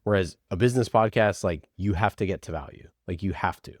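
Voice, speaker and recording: Low-voiced man, informal and emphatic. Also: a little fast, dry recording, clean studio sound. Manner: emphatic, informal, opinionated, acts out imagined quotes. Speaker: podcast producer, interview guest. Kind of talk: conversational marketing advice.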